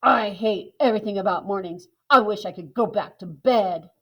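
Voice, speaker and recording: A woman's voice: medium pitch, normal speed, dull audio, close to an everyday voice. A woman voicing a grumpy male lizard who hates mornings, grumbling sulkily. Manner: sulky, grumbling.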